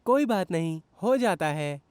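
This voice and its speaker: An actor voicing a kind, conscience-stricken young male train, gentle and polite, in a children's cartoon dub. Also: medium pitch, expressive, measured pace, no room sound, lightly acted. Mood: apologetic.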